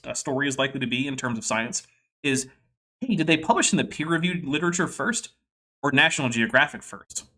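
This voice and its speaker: A man from the Boston area hosts a casual podcast. His voice is bright and clear, and his talk runs in quick, explanatory bursts. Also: medium pitch. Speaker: podcast host. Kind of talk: casual commentary.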